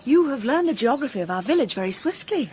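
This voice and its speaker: An actress playing a polite, outspoken Victorian village schoolmistress in a period radio drama. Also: female voice, high-pitched, big pitch swings, fast, darkish tone, soft background music. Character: prim, forthright young Victorian village schoolmistress.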